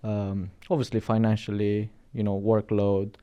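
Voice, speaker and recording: A man with a Romanian accent speaks in a low, mellow voice. He talks loosely and conversationally, restarting phrases and checking in with "right?" as he tells his story as a podcast guest. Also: little pitch movement, moderate pace, clear, resonant tone, dull audio. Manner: chatty, storytelling, frequent restarts and "right?" tags. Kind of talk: casual interview chat.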